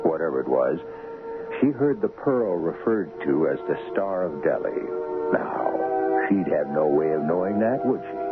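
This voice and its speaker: Very deep, creaky male radio host. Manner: wry, rhetorical, dramatic. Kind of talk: scripted, theatrical radio narration. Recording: lo-fi old radio broadcast, loud music behind. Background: music.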